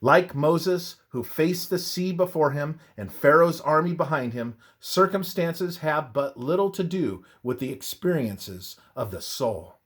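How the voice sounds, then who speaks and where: Slow, husky man reading devotional narration. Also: fairly high pitch, animated, a bit bright. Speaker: reader of a religious text. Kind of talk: scripted devotional narration with scripture citations.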